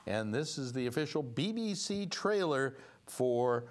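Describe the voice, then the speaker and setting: A man's voice: medium pitch, sing-song, measured pace. Older male talk host, wry, loose, rambling studio chat. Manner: rambling, wry, full of fillers. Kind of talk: casual talk show banter. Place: studio set.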